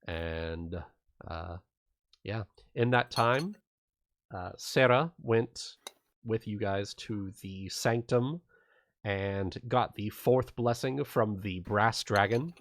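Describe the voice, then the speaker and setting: A man acting as game master on a casual livestream, explaining and recapping the game in an informal, halting way with some swearing. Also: on the low side, dry recording.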